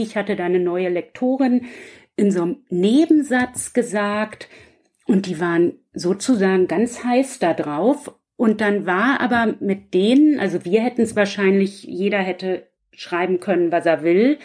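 A woman with a medium-pitched voice chats as a guest on a podcast. She explains in a loose, conversational flow, moving from one thought to the next. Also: a little mellow. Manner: chatty, loosely structured, explanatory. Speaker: guest author. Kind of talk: casual podcast chat.

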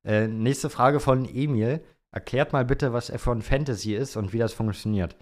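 A man's voice: medium pitch, normal speed, darkish tone. A young man co-hosting a podcast, casually answering listener questions in an easygoing, colloquial way. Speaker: podcast co-host.

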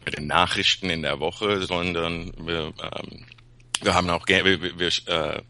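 Very deep gravelly man, hesitant. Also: fairly even intonation, unhurried, pushed and bright, a little hiss or hum. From Peru. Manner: hesitant, many fillers and restarts. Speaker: podcast guest. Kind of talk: casual chat.

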